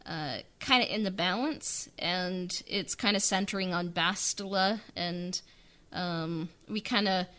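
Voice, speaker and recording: A woman's voice: mid-range voice, pushed and bright. A woman co-hosting a podcast, chatting casually and hesitantly with many fillers. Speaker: podcast co-host. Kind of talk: casual behind-the-scenes chat.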